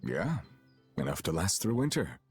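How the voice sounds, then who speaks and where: A man playing a calm, stoic adult guardian and mentor in an anime-style fantasy game, measured and reserved. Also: low-pitched, faint music behind.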